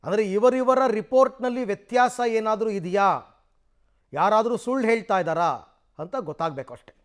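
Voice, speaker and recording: High-pitched male Kannada news anchor. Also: moderate pace. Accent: Kannada, Karnataka. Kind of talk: live news presenting with English words mixed in.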